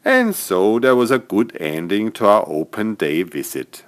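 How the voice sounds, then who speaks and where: A man narrating a scripted bedtime story for small children as its author, in an expressive storytelling manner. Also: fairly low pitch, unhurried. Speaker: author narrating own stories.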